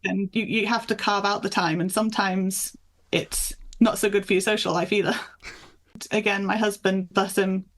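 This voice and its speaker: A woman's voice: mid-range voice, flat delivery, normal speed, fairly bright tone. A woman from the north of England and Michigan, chatting in an anecdotal, conversational way as an author being interviewed. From north of England and Michigan, USA. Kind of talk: casual interview chat.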